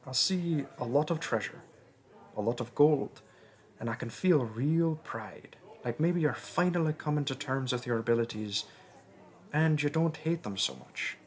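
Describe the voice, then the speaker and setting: A man's voice: medium pitch, measured pace, lightly acted. A man voicing a friendly young male seer at a magic college who rambles earnestly about no one believing his visions. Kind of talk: audio roleplay.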